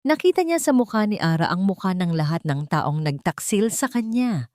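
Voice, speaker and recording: A woman's voice: mid-range voice, very animated, normal speed, darkish tone, no echo, studio quality. A woman narrating a scripted romantic drama as a storyteller.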